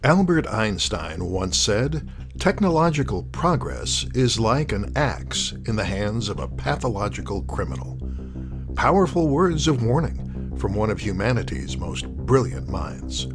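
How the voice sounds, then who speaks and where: A man's voice: fairly low pitch, sing-song, a little slow. A man hosting an audio drama show, delivering a scripted narrated outro. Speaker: host and announcer. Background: music.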